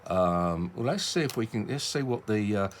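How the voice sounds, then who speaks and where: Deep-voiced British man, rambling casually. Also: animated, normal speed, a little hiss or hum. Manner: rambling, self-interrupting, hesitant. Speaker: hobbyist video presenter. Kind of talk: casual unscripted demonstration.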